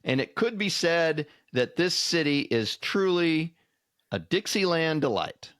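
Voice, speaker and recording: A man's voice: fairly high pitch, big pitch swings, a little slow, a bit bright, no room sound, studio quality. A man co-hosting a podcast in casual chat, with a folksy storytelling manner. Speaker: podcast co-host.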